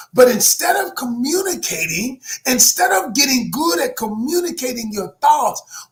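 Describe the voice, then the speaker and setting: A man in his sixties, a life coach giving a motivational talk to viewers. He is emphatic and preachy, calls his listeners "y'all," and swings from hushed to shouted. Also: extremely high pitch. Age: 60s.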